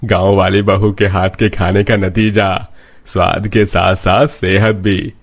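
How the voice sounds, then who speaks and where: An elderly father-in-law from a cartoon village story played by a man, plain-spoken and opinionated. His voice is fairly low, gravelly and very husky. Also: flat delivery, a little slow. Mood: vindicated. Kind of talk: cartoon moral story dialogue.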